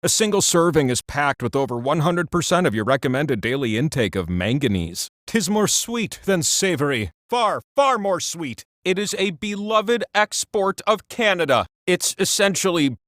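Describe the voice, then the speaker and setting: A man's voice: a little high, very animated, moderate pace, hardly any pauses, fairly bright tone, dry recording, studio quality. A man voicing a boastful, god-like talking wheel that hosts a video game quiz show, pompous and theatrical with teasing, chatty asides. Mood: grand.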